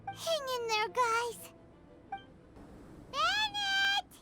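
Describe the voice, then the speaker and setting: A woman voicing a tiny, cheerful, excitable fairy companion in a video game, exclamatory in manner. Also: very high-pitched, drawn-out words, exaggerated voice. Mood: worried. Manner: refers to herself in third person, exclamatory. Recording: music behind the voice.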